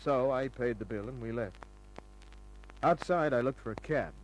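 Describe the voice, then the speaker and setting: An actor playing a cocky, wisecracking private detective who narrates his own case in a dry, smooth-talking way, in an old-time radio drama. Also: medium pitch, moderate pace, lightly acted, poor audio. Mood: wry narrating. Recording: old radio recording with music.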